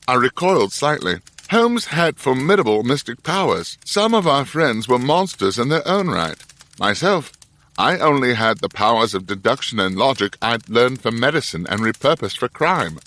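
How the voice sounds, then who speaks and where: Victorian doctor sidekick, dry n thoughtful, voice moves around a lot, audio drama narrator. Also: male voice, medium pitch, sing-song, normal speed, fairly bright tone. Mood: rueful narration. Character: thoughtful, self-doubting Victorian doctor, the detective's companion. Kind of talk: first-person narration and audio drama dialogue.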